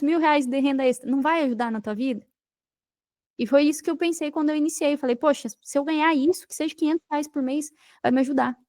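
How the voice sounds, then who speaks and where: Brazilian woman on a podcast, really high voice, dry close sound, emphatic, chatty. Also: no room sound. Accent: Brazilian Portuguese. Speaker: podcast guest, digital marketing entrepreneur. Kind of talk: conversational interview storytelling.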